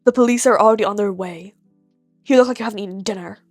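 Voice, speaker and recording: A woman voicing a gruff, dry, annoyed grown man, a stern hero, in a deadpan, slow manner for an audio story. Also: medium pitch, dry recording, soft background music, pitched below the performer's own voice, close to an everyday voice.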